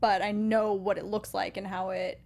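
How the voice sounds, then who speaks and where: Woman cohost chatting casually, backs up her partner with short replies, mid pitch. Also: level tone, moderate pace, darkish tone. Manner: supportive, short agreeing replies. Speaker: podcast co-host. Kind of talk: casual chat.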